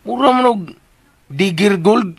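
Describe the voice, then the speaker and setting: A man's voice: high-pitched, unhurried, creaky, slightly rough, no echo, full character voice. A Visayan Filipino man playing a cheeky, devoted household servant in a comedic radio drama, stammering and clowning as he wheedles. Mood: gossipy. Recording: radio drama recording with faint background noise.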